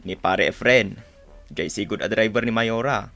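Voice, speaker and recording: A man's voice: fairly low pitch, normal speed, sharp tone, faint music behind. A man acting in an Ilocano radio drama as a village man passing on news, with an Ilocano accent. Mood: informative.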